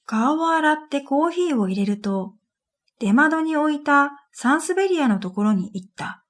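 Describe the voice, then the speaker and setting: A woman's voice: high voice, lively intonation, normal speed. A woman narrating a scripted first-person story for a radio drama in an introspective, matter-of-fact manner. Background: music.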